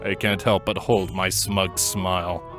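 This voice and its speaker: A man playing a smug, gruff older-brother changeling, a sardonic insect creature, narrating his story in a dry, taunting way. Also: low-pitched, flat delivery, a little slow, over music. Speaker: first-person narrator and character. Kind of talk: dramatic first-person story narration.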